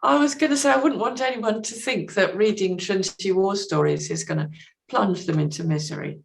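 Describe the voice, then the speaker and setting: A woman guest on a podcast, discussing books casually in a measured, understated way, pausing to think. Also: mid-range voice, vocal fry.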